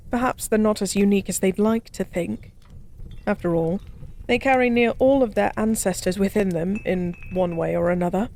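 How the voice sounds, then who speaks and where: A woman playing a forgotten goddess as a tipsy pub stranger in an intimate audio roleplay, speaking softly in slow, wistful philosophical rambles. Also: mid-range voice, gravelly, slight background noise. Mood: wry, probing. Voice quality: soft. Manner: slow, musing, rambling, teasingly cryptic.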